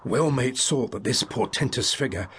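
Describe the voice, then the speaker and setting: An actor playing a sober soldier on night watch in a dramatised Shakespearean audiobook. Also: male voice, medium pitch, normal speed, a bit bright, slightly rough. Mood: grave, pondering.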